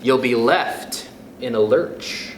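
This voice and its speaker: A young man reading a picture book aloud to children, gentle and animated, with soft chuckles and friendly asides. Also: medium pitch, slow, echoey room, a little hiss or hum. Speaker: youth club storyteller.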